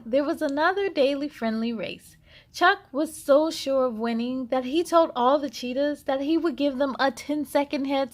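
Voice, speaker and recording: A woman with a high voice narrates for young children as a story-time host. She takes her time, phrasing each line deliberately. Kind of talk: animated children's read-aloud narration.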